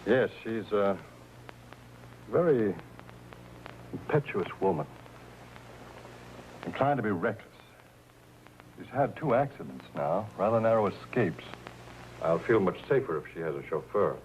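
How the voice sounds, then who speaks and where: Frank, measured rich employer, tactful and businesslike with lively pitch swings, noisy vintage crime-drama soundtrack. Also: male voice. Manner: deliberate, businesslike, hesitating tactfully. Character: measured, frank, well-off husband hiring a chauffeur. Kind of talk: 1950s crime drama acting. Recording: dull, noisy old film soundtrack.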